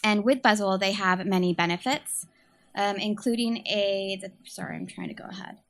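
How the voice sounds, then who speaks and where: A young woman from San Francisco with a quiet voice, giving a nervous startup pitch as communications manager, hesitating with many ums and uhs. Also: steady tone, normal speed.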